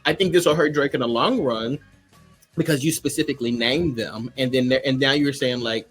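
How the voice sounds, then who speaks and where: Guy on a panel arguing his take, says like a lot, normal pitch, faint music going. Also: moderate pace, a bit bright. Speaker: panelist on a hip-hop debate show. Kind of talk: casual opinionated debate.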